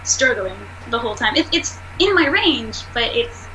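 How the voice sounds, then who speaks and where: A woman voice actress and singer with a nasal voice, talking fast and animatedly in a casual interview over a video call. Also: a little high, slight background noise, low-quality recording. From United States. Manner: fast, animated, laughs often.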